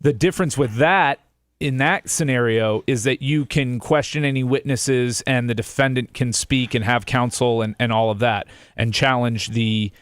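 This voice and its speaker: A man's voice: medium pitch, normal speed, smooth, no room sound. American man explaining things, dry close sound. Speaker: talk show guest explaining court procedure. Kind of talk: casual explanatory talk.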